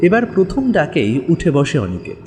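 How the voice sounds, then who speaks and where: A man narrating a village ghost story in a dramatic, expressive storytelling manner. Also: fairly high pitch, moderate pace, in a large hall, faint music behind.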